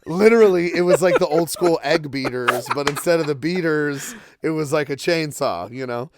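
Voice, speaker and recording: A man's voice: on the high side, moderate pace, background noise. A young man co-hosting a casual podcast, joking crudely and laughing as he tells stories and facts. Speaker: podcast co-host. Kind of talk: casual chat.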